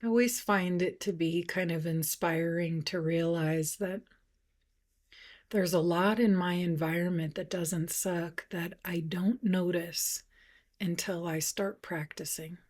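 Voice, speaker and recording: Woman doing a guided meditation, really slow and gentle, lowish voice, soft and a bit breathy. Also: steady tone. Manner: gentle, unhurried, with long pauses. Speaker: meditation teacher.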